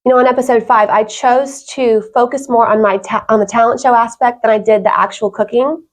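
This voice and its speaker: A woman's voice: a little high, steady tone, brisk. A woman talking casually as an interview guest, earnest and emphatic in how she makes her points. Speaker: podcast guest.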